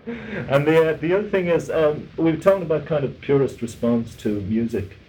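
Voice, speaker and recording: An Irish man interviewing in a conversational style, eager and quick to interject. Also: fairly high pitch, lively intonation, a little mellow, slight background noise, rough recording.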